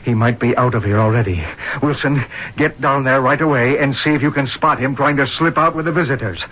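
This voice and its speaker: A man's voice: medium pitch, fairly quick, fairly bright tone, lightly acted. An actor playing a grave, authoritative male hospital doctor in a 1970s radio drama, speaking emphatically. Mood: urgent, indignant. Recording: muffled vintage radio broadcast.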